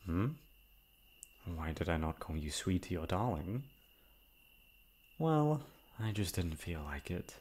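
A man voices an obsessive young prince in an ASMR roleplay. His voice sits low with a gravelly fry, and his wording is formal and stilted, the speech of a lonely captor used to giving orders. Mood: anguished. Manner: formal, stilted diction, swinging from tender to commanding. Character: possessive, lonely, obsessive young prince holding a captive lover.

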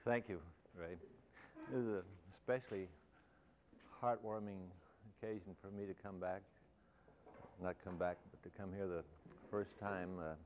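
A man's voice: deep voice, fairly even intonation, normal speed, pauses often. A man in his sixties, an architect speaking as guest lecturer to students, reflective and loosely phrased with restarts. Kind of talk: informal talk to students. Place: lecture hall. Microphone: lapel mic. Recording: thin, phone-like, faintly hissy 1970s videotape.

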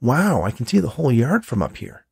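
An eager, friendly little boy from a storybook, voiced by a man. The pitch is lifted and lively, full of curiosity. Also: a little fast, gravelly, breathy edge, no echo, clean studio sound, heavily acted. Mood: curious. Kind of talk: audiobook character reading.

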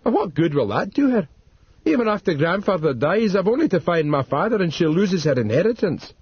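A man's voice: high-pitched, very animated, brisk, darkish tone, slightly rough, no echo, voice pushed up, heavily acted. A man voicing a stern young husband, speaking in a raised, earnest voice. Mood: urgent. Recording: dull dry studio read.

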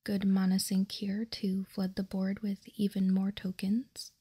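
A woman doing whispered ASMR in a soft, breathy, unvoiced whisper, reading out card text calmly and deliberately, close to the microphone. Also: mid-range voice, dry recording. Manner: slow, deliberate, calm reading. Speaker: ASMR video host. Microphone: close microphone, dry sound.

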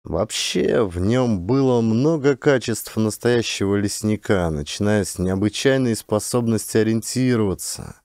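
Mellow low-voiced male audiobook narrator. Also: unhurried, few pauses, no room sound, clean studio sound. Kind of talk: literary audiobook narration of a classic short story.

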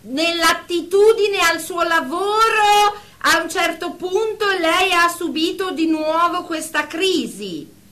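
A woman plays an officious, exasperated therapist in a radio drama. The voice is high and bright, with slow, formal, pedantic phrasing that keeps firm control. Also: lively intonation, exaggerated voice. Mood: formal, controlled.

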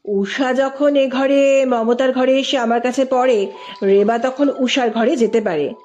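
A woman's voice: sits high, steady tone, measured pace, a little mellow, highs cut off. A woman voicing a courteous, deferential, shrewd young male teacher in a story reading. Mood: smiling, questioning.

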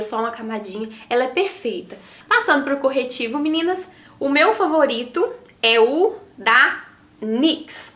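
A woman with a Brazilian accent talks straight to camera in a small studio, teaching makeup basics in a chatty, affectionate way and tagging questions onto her phrases. Her voice sits high and sounds a little nasal from a cold. The room adds a noticeable echo. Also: bright, forceful tone. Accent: Brazilian Portuguese. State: has a cold, slightly nasal voice. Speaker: makeup artist and beauty vlogger. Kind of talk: beauty tutorial to camera. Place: small indoor studio in front of a logo backdrop. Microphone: camera framing head and shoulders. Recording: roomy echo.

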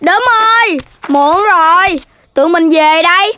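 Very high-pitched dutiful cartoon kid. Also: female voice, unhurried, background noise. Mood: worried. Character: dutiful, weary young child playmate. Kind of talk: children's cartoon voice acting. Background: children's music.

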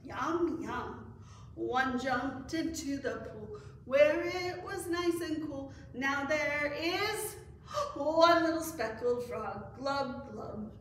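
A woman's voice: sits high, takes it slowly, fairly bright tone. Woman reading to kids in an echoey room. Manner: cheerful sing-song, leads counting and hand motions. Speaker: children's librarian. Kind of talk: story time reading and action songs for kids. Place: library story room with screen. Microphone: far camera. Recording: echoey room from far off, faint noise.

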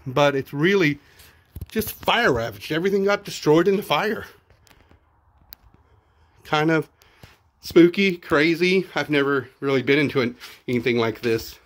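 A man's voice: fairly high pitch, expressive, a little slow, long pauses, dry recording. A middle-aged man with a Texan accent, narrating casually as he films himself walking through outdoor ruins on a handheld phone, thinking aloud and repeating words. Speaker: vlogger exploring ruins. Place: outdoors at a ruined zoo. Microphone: handheld phone camera.

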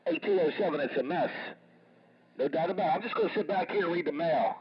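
A man's voice: fairly high pitch, fast talker, breathy edge. A man chatting as a CB radio operator, repeating catchphrases and drawing out his call signs. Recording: muffled CB radio transmission with crowded chatter.

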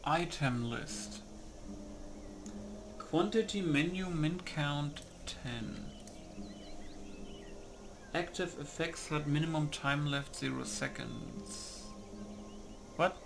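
Slow, creaky-voiced man muttering through game settings. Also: on the high side, background noise. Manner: muttering to himself, casual swearing. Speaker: gamer recording a mod setup. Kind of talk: casual game commentary, reading settings aloud.

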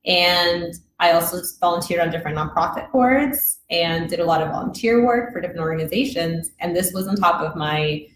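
A woman's voice: fairly low pitch, brisk, hardly any pauses. A young woman from Mexico, a podcast guest, telling her story in an earnest, reflective way at a desk mic in an office room. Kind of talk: casual interview chat. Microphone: desk microphone. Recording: echoey room, faint noise.

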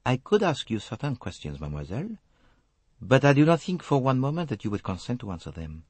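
Shrewd old foreign detective for an audiobook, formal and slow, husky, very dry sound. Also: male voice, mid-range voice, wildly swooping pitch, a little mellow, no room sound, voice pushed up. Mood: grave, insistent. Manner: slow, emphatic, formal phrasing. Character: elderly foreign detective, courteous, shrewd and deliberate.

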